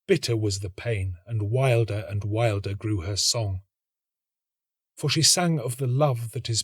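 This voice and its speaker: Animated male storybook narrator, dry close sound. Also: mid-range voice, breathy edge, dry recording. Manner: measured, dramatic, expressive. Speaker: story reader, actor.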